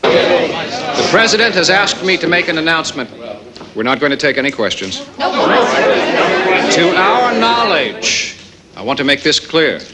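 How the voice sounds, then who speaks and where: Male official, fairly high voice, grave and emphatic formal statement amid loud crowd noise. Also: sing-song. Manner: emphatic, deliberate, repeats phrases. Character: grave male presidential press secretary. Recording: very noisy crowded press briefing room.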